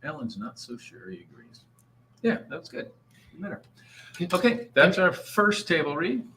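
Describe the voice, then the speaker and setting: A man's voice: on the high side, huge pitch swings, unhurried, bright, forceful tone. A man directing an informal table read and reading out the stage directions. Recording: faint background noise.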